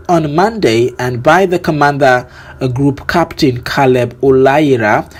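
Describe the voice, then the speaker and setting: A man with a Nigerian accent reads scripted news as a presenter, in a formal, measured delivery. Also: a little high, darkish tone.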